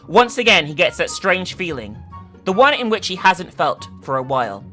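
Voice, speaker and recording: Lively, bright-voiced woman reading dramatically. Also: lowish voice, normal speed, faint music behind. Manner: theatrical, emphatic, sweary in asides. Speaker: video host reading a story aloud. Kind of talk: dramatic reading with casual commentary.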